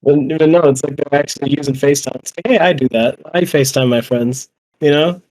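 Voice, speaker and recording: A man's voice: fairly high pitch, quick pace, a little mellow, poor audio. A young adult man chatting as a podcast panelist, joking in slang, swearing and acting out imagined lines. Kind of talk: casual chat about movies.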